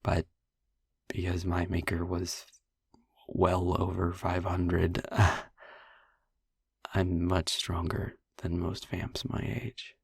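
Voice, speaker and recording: A young vampire boyfriend, acted by a man in an intimate audio roleplay, speaking low and very breathy, close to a whisper. He takes his time, gentle and wry, confiding in halting phrases with a gravelly edge. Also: flat delivery, no echo, full character voice. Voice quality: breathy, husky, often near a whisper. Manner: halting, pausing, wry asides.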